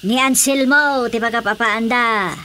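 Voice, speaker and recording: Bright-voiced, gossipy village woman. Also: on the high side, unhurried, slight background noise. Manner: exclamatory, gossipy. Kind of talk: Ilocano radio drama comedy acting.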